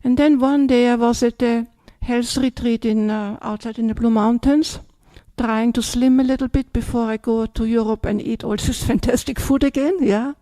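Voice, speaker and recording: Older Austrian woman, nun giving a talk, fairly high voice, dry close sound, chatty. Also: fairly even intonation, normal speed, few pauses, warm tone, no echo. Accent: Austrian German. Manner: chatty, tags sentences with "yeah?" and "yes?". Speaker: Buddhist nun. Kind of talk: dharma talk.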